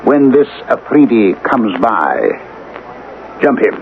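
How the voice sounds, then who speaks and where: A noisy, phone-thin radio recording carries a shrewd schemer. He speaks at a middling pitch, instructing and insisting with quiet command in a radio drama style. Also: expressive, slow pace. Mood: urgent, commanding. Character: shrewd, commanding male ethnologist scheming for a friend. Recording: noisy, narrow-band old radio recording.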